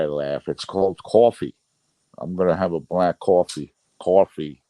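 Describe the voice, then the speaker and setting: A man's voice: deep voice, mellow, no room sound. A middle-aged man with a Brooklyn New York accent, chatting casually on a livestream, reading the chat and rambling with frequent laughs. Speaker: livestream host.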